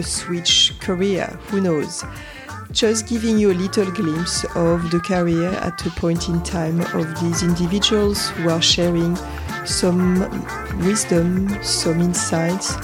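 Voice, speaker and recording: Woman podcast host, somewhat low voice, conversational intro with guitar music under it.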